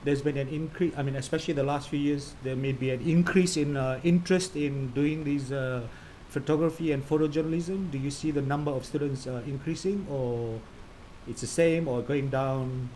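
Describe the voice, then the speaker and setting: A man's voice: a little high, fairly even intonation, normal speed, warm tone, a little hiss or hum, rough recording. A man hosting a live online interview, asking long, rambling questions full of "you know". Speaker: host of a live interview series.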